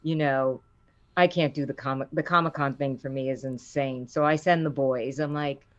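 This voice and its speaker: A middle-aged woman with a low voice, a voice actor chatting in an interview and acting out the quotes in her stories. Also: moderate pace, lo-fi sound. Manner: animated storyteller, acts out quotes with rising pitch. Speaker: voice actor guest. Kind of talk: casual interview chat.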